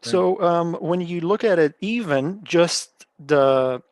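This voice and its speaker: A young man from Iran who speaks English as a talks on a video call in a fairly high voice. He is hesitant, fills gaps with "um" and "uh", and stops to look for the right words. The sound is dry and close. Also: slow pace, dry recording. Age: 20s. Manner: hesitant, many fillers, searching for words. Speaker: meetup participant. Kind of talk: online group discussion.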